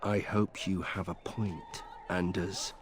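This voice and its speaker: A man playing a dry, brooding nobleman with a curt, deadpan, sarcastic delivery in a fantasy video game. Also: deep voice, slow. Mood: dry, unamused. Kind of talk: video game party banter.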